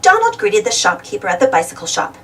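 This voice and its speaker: A woman reading a children's storybook aloud in an expressive, dramatic storytelling manner. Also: medium pitch, fast talker, far from the mic. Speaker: storybook reader. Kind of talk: animated children's read-aloud narration.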